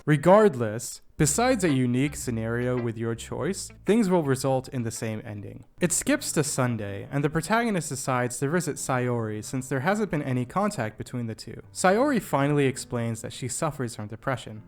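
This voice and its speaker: A man's voice: medium pitch, very animated, moderate pace, hardly any pauses. Young man narrating over background music. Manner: self-deprecating, jokey. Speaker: YouTube video essayist. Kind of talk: scripted narration with comedic asides.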